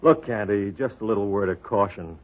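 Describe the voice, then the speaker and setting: An actor playing a wary American police detective in a 1940s radio drama, blunt, terse and casual. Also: male voice, fairly low pitch, moderate pace, lightly acted. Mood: wary, needling. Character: gruff, wary male police detective, needling. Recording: tinny, phone-like 1940s radio recording.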